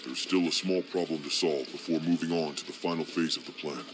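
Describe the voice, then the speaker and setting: A man voicing a scheming demon warlord in a game cutscene, speaking in a smug, slow, menacing manner. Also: extremely low pitch, steady tone, noisy background. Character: scheming male demon warlord, cold and commanding. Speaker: villain leader.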